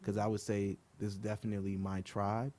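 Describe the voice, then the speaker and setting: A man from Liberia, a guest on a podcast, talking in a relaxed, earnest way with many ums. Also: lowish voice, fairly quick. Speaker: guest, outdoor group co-founder. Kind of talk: casual podcast interview.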